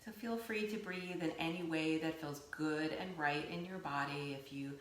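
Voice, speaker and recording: Low-voiced woman guiding meditation in echoey room. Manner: soothing, unhurried with pauses. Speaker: yoga nidra teacher. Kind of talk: guided meditation, gentle spoken instruction. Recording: roomy echo, faint background noise.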